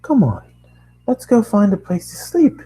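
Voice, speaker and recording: A man's voice: sits high, huge pitch swings, unhurried, dark tone, faint music behind, voice pushed up, heavily acted. A man voicing dainty, theatrical female cartoon ponies in a storybook-style reading. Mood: dramatic.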